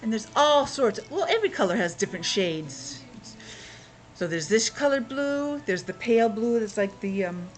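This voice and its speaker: A woman's voice: fairly high pitch, lively intonation, moderate pace, low-quality recording. A woman teaching young children in a playful, exclamatory way, asking questions and sounding out words. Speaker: preschool teacher. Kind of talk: interactive lesson for young children.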